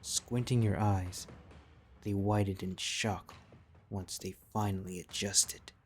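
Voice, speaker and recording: A man with a deep, low voice narrates a fantasy tale. He reads from a script in the second person, as a storyteller, over faint background music. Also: steady tone, slow.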